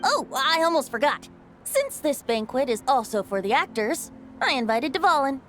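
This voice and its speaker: A woman's voice: sits high, sing-song, normal speed, a bit bright. A woman voicing a breezy, teasing young companion in a video game, casual and lightly theatrical, over background music. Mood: light, wry.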